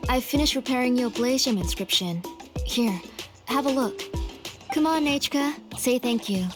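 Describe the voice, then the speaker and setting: A woman playing a gentle, caring, courteous mother in a video game. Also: high voice, lively intonation, moderate pace. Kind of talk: English video game voice acting. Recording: game music behind the voice.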